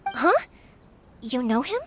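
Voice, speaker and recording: An actress playing a cheerful, earnest young firework-maker in a video game, eager and big-hearted. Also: female voice, sits high, wildly swooping pitch, slow pace, slight background noise, full character voice. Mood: hesitant. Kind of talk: English video game voice acting. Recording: game audio with background music. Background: game music.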